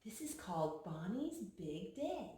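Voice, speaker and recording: A woman reading a picture book aloud to young children, pausing to explain things to them. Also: medium pitch, very animated, mellow. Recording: very echoey room with some noise.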